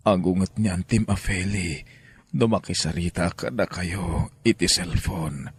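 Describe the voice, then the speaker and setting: A man playing a meek, apologetic father cowed by his wife in an Ilocano radio drama, with a rough, husky voice. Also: low-pitched, measured pace, heavily acted. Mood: hushed, apologetic.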